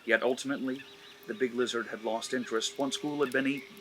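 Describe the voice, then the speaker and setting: A man's voice: mid-range voice, steady tone, fast, slight background noise. Man reading smut with mock gravity. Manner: mock-serious storytelling. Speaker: narrator and host. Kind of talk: dramatic reading of erotic fiction.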